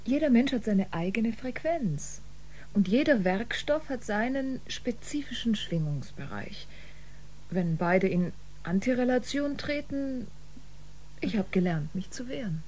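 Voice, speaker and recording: An actress playing a wary, defiant musician aboard a spaceship in a sci-fi radio drama, expressive and pointed. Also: female voice, on the high side, unhurried, a little hiss or hum.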